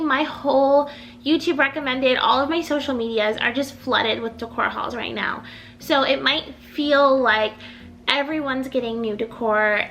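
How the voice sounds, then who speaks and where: Chatty bright-voiced young woman vlogger. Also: high voice. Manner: chatty, rambling, upbeat, lots of "like". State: recovering from illness, voice a little off. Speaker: home decor YouTuber. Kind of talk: casual chatty vlog.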